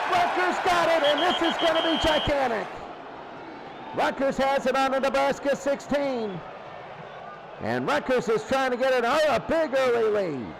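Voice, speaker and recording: High-pitched man calling American football. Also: noisy background. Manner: repeats down and distance in short bursts. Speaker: American football play-by-play announcer. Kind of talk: live sports radio commentary.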